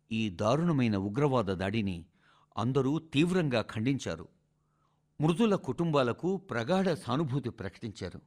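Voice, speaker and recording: A man reading a formal scripted speech for radio in measured, emphatic oratorical phrases. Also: medium pitch.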